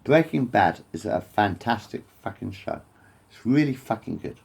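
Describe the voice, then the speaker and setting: A man's voice: on the low side, lively intonation, moderate pace, gravelly, darkish tone, breathy edge. A man in his late forties rambling to camera, repeating himself, swearing and wandering off topic. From England. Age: 40s. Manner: repetitive, swears often, digresses. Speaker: vlogger.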